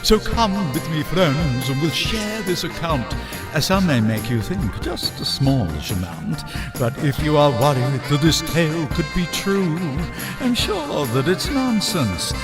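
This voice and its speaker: A man playing a mysterious, playful storytelling host in a rhyming storybook introduction, with a dramatic, teasing, drawn-out delivery. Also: fairly high pitch, sing-song, over music. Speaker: podcast introduction narrator.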